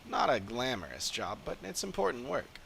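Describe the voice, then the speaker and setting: A man's voice: mid-range voice, very animated, normal speed, gravelly, fairly bright tone, slight background noise, exaggerated voice. A man voicing a cheerful young apprentice engineer from a video game, chatty and explanatory. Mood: upbeat, explanatory. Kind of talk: game dialogue read aloud in character.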